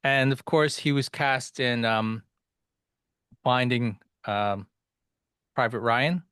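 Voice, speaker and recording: A man hosting an interview podcast, asking questions in a hesitant way with many pauses and restarts. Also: medium pitch, a bit bright, smooth, no echo, studio quality. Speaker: podcast host.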